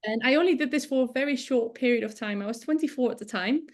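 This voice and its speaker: A woman's voice: a little high, fast talker. A woman in her thirties from the Netherlands, a native Dutch speaker, telling her story casually as an interview guest. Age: 30s. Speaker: interview guest, business founder.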